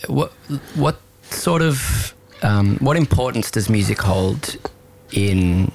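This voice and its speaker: A man interviewing a guest, asking measured, gently prompting questions. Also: lowish voice, animated, gravelly, a little hiss or hum.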